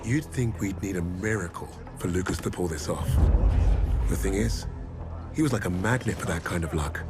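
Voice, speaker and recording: An actor playing a stern, sardonic soldier who narrates a war story in a measured, wry way for a video game. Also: male voice, sits low, music in the background. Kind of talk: scripted cinematic war narration.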